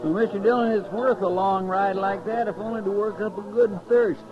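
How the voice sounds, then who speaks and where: A man's voice: high-pitched, steady tone, moderate pace, music in the background, close to an everyday voice. A man playing a folksy, deferential cowboy sidekick in a 1950s radio western, with a drawling American accent. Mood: easygoing. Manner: drawling, folksy, plain-spoken. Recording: dull, noisy 1950s radio recording.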